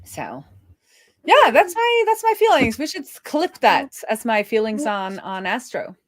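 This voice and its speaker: A woman's voice: on the high side, sing-song, measured pace, no echo. A woman hosting a casual live coding stream, thinking aloud as she explains technical things informally. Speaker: live-streaming web developer and host.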